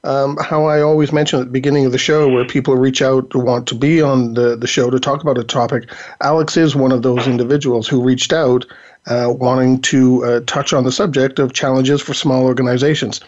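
A man's voice: mid-range voice, steady tone, a little fast, a little mellow. A man hosts an internet talk show in a casual, rambling way with plenty of fillers. His voice is slightly worn, and the recording sounds dull and lo-fi. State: losing his voice. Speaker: podcast host. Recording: dull lo-fi audio.

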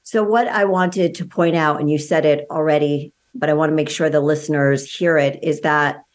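A woman with a notably low voice hosts an interview. She reads an introduction and then asks earnest questions to clarify what her guest says. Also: fairly even intonation, normal speed. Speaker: podcast host. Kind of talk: interview host.

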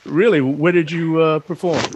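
A man from Chicago hosting an interview, speaking slowly and haltingly with many fillers. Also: a little high, steady tone, dull audio, slight background noise. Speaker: host. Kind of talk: interview host.

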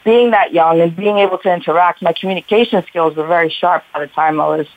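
A woman's voice: fairly low pitch, fast, no room sound. A woman in her twenties telling her own story conversationally as an interview guest. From Albania and United States. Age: 20s. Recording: tinny, band-limited phone line.

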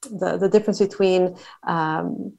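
A woman's voice: fairly low pitch, steady tone, vocal fry, darkish tone. A woman from Montreal, a guest on an interview podcast, talking with enthusiasm and many fillers as she lists places and ideas. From Canada (Montreal). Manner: enthusiastic, talkative, quick listing with fillers. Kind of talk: casual interview conversation.

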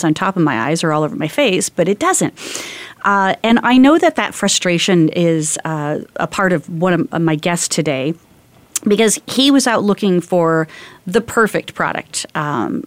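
Female talk host, lowish pitch, close dry sound, informal and rambling conversational delivery. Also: dry recording. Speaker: radio show host. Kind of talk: casual, chatty interview.

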